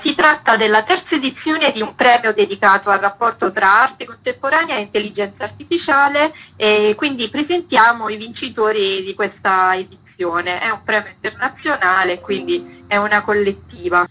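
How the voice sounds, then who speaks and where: A woman with a slightly husky voice, an interview guest explaining her curatorial work in full answers. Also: mid-range voice, normal speed, few pauses, bright, forceful tone, dull audio.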